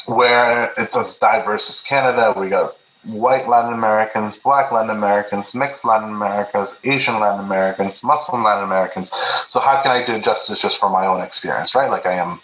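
Youngish guy from peru, kinda low voice, earnest, stumbles and repeats words, roomy echo. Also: a bit bright. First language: Spanish. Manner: earnest, repeats words when starting thoughts. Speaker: guest researcher. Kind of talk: casual interview talk. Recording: echoey room.

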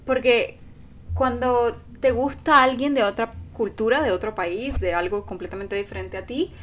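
Earnest woman, higher voice. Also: normal speed, slight background noise. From Venezuela. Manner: earnest, animated, acts out quoted speech. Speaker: podcast co-host. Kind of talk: casual couple podcast chat.

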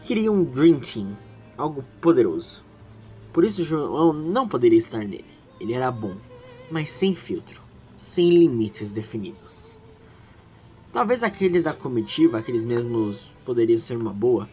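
Male actor as anxious schoolboy, Brazilian accent, emotive, lively intonation, dramatic narration, faint music. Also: medium pitch, moderate pace, lightly acted. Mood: scheming, pensive. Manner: emotive, emphatic, repeating words when agitated. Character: anxious, insecure, ambitious teenage schoolboy running for election. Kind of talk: dramatic first-person narration and dialogue in audio drama.

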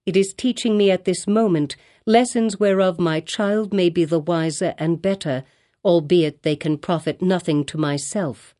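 A woman voicing a calm, dignified young mother speaking with quiet dignity. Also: lowish voice, moderate pace, close to an everyday voice.

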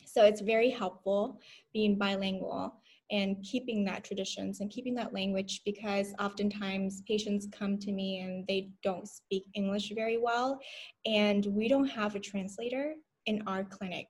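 A woman's voice: medium pitch, steady tone, moderate pace, darkish tone. Chatty young woman from Vietnam. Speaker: guest, physician assistant. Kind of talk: casual interview.

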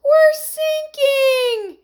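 A woman voicing an excitable, easily frightened girl in a children's story, crying out dramatically. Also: very high voice, drawn-out words, pitched above the performer's own voice, heavily acted. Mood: panicked. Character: frightened, excitable girl stranded in a sinking boat.

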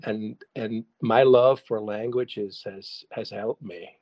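Brief: German-native male songwriter, low-pitched, halting, muffled dry sound. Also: fairly even intonation, darkish tone, no room sound. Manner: hesitant, many restarts and fillers. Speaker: songwriter and guest. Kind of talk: casual interview answers.

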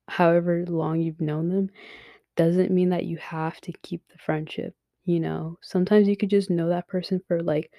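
A woman's voice: fairly low pitch, warm tone, no room sound, studio quality. A young woman hosting a podcast, giving frank advice in a casual monologue full of fillers and swearing, sometimes dropping to a whisper, her voice worn as she loses it. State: losing her voice. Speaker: podcast host.

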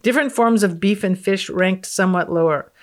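A woman's voice: mid-range voice, fairly quick. A woman guest on a podcast, reading research aloud and commenting in a wry, matter-of-fact way. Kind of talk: reading research aloud with casual, unscripted reactions.

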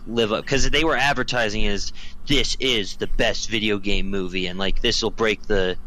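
Guy cohosting a podcast, lowish but bright voice, says like a lot, bit of background noise. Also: low-quality recording. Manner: informal, hedging with frequent "like". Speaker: podcast co-host. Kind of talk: casual chat.

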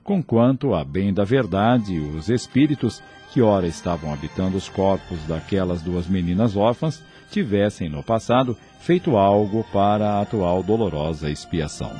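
A man narrates a radio drama with solemn formality. His voice is fairly low and dark, reading a scripted story over a faint bed of music. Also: animated, normal speed, few pauses.

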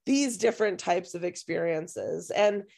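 Hoarse woman with a gravelly voice. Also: fairly low pitch, measured pace, darkish tone. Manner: rambling, self-interrupting storytelling. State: hoarse, losing her voice. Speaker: podcast co-host and music teacher. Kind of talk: casual podcast chat.

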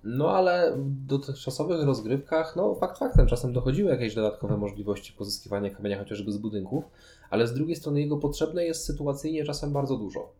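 A man explaining things in a measured way as co-host of a casual discussion about games. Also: mid-range voice, mellow, faint music behind. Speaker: podcast co-host. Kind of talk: casual board game discussion.

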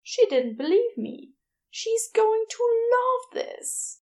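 A woman voicing a gentle, awkward, apologetic male doctor in an audiobook, breathy, prim and self-deprecating. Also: very high voice, big pitch swings, slow pace, voice pushed up, heavily acted. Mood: embarrassed, earnest. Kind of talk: audiobook character dialogue.

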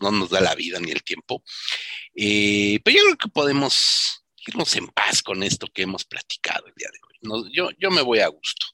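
Bright, forward-voiced Mexican man hosting casually. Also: fairly low pitch, no room sound. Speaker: podcast host. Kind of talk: casual conversational panel talk.

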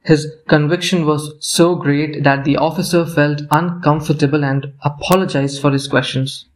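A man's voice: fairly high pitch, fairly even intonation, normal speed, rough recording. A man narrating a scripted story for an audio play.

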